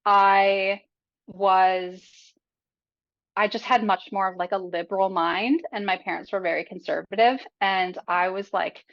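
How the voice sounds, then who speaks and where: A woman's voice: mid-range voice, fairly even intonation, measured pace. A young adult woman speaking as a podcast guest in a candid, casual way, full of fillers and frank about her life. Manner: chatty, filler-heavy, frank and profane. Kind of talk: candid casual interview talk. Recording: dull lo-fi audio.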